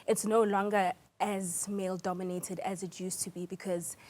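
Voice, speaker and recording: A South African woman speaks as an interview guest. Her delivery is composed and measured, with a mid-pitched voice, as she gives considered answers in a news interview style. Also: little pitch movement, a little mellow. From South Africa, small town in Mpumalanga. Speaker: interview guest, air traffic controller.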